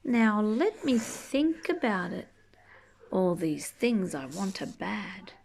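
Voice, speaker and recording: A woman reading a rhyming children's book aloud expressively, drawing out key words and chatting gently to young listeners. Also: mid-range voice, gravelly, breathy edge. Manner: animated, drawn-out key words, gentle questioning asides. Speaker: storybook reader reading to children.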